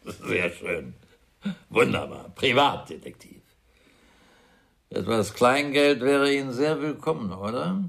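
A man's voice: mid-range voice, lively intonation, slow, creaky, long pauses, a bit bright, full character voice. An actor playing a bitter, sarcastic older father who blusters scornfully in a German radio crime drama. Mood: sardonic, mocking. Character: sardonic, bitter, well-off father, widowed businessman.

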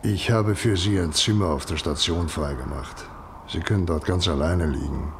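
Man acting an insistent doctor, low-voiced, noisy recording. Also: moderate pace, close to an everyday voice. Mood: insistent, concerned. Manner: insistent, reasoning. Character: urgent, practical, concerned male country doctor. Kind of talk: German radio play acting. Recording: noisy radio play with loud ambience.

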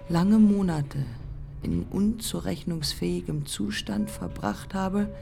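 Grave, slow-spoken haunted woman pilot. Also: lowish voice, gravelly. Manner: deliberate, fragmentary, incantatory phrasing with repeated lines. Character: dead pioneering German woman pilot, bitter, haunted, grave. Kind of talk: poetic radio play monologue.

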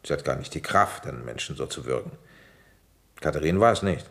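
A man playing a methodical, probing police commissioner who also narrates a radio crime play in a deliberate manner. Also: very deep voice, animated, vocal fry, slightly rough, heavily acted. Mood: stern, probing. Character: methodical police commissioner investigating a village murder. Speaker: detective and narrator. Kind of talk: radio play acting and first-person narration.

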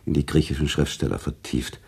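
Worldly emotional storyteller for radio drama, husky and mellow, pauses for effect. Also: male voice, full character voice. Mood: wistful. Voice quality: husky, gravelly low voice. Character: sentimental, worldly male storyteller, emotional and teasing. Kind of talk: German radio drama acting, conversational storytelling.

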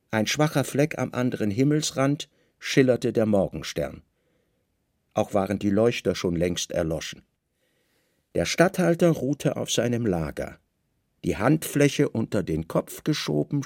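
A man narrating a literary radio drama in a hushed, deliberate, dramatic way. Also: mid-range voice, creaky, dry recording, studio quality.

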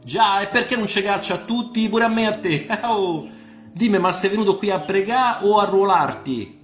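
A cocky, friendly young Roman man acted by a male storyteller chatters in colloquial dialect. His voice sits high and rings in a roomy space, full of ironic teasing, as in a radio story. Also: normal speed, soft background music, natural voice. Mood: bantering, explaining.

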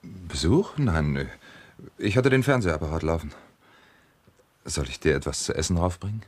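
Deep-voiced, scheming husband in radio drama. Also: male voice, lively intonation, moderate pace, darkish tone, breathy edge. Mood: soothing, evasive. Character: scheming husband, smooth and evasive, plotting over money. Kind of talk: radio crime drama acting.

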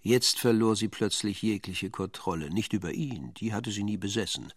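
A man's voice: on the low side, lively intonation, normal speed, dry recording. A man narrating a German crime radio play as a wry, detached storyteller with a dry, sardonic manner. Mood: dry, intimate. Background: music.

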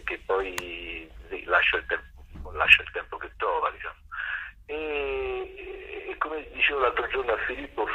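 An older man, a stage actor, reflecting in a radio interview in a halting, searching way, repeating words, with a husky voice. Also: fairly low pitch, pushed and bright. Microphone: telephone. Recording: rough, harsh telephone line.

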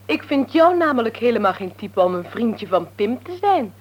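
A haughty young woman in a radio drama. Her voice is on the high side and swings with lively intonation. She is mock-formal and tart, with an amused, proper edge. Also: moderate pace. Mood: haughty, teasing. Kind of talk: Dutch radio play acting.

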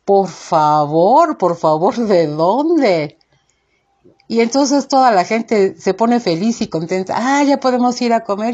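A woman's voice: mid-range voice, darkish tone, slightly rough, highs cut off. A woman with a Mexican accent giving an indignant, emphatic opinion monologue as a show host, full of rhetorical questions. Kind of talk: informal opinion monologue to listeners.

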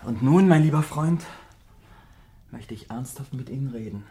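A man's voice: medium pitch, expressive, moderate pace, warm tone, lightly acted. Guy playing a worried upright painter type in a radio play, medium male voice, formal, earnest and pressing. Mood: grave, insistent. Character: earnest, morally concerned gentleman painter, anxious friend. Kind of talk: radio drama acting.

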